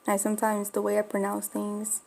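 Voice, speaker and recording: Woman w/ a slight mexican spanish accent, gravelly flat voice, rambling storytime vlog. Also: mid-range voice, a little fast, poor audio. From Florida, USA. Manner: rambling, confiding, many fillers. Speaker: bulldog breeder vlogger.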